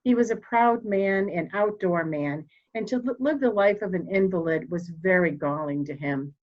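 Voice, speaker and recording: A woman playing a proud, formal, candid elderly mother in a murder mystery reading, speaking deliberately. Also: fairly low pitch, highs cut off, natural voice. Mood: composed, candid. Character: proud, cold old mother, candid and slightly deaf.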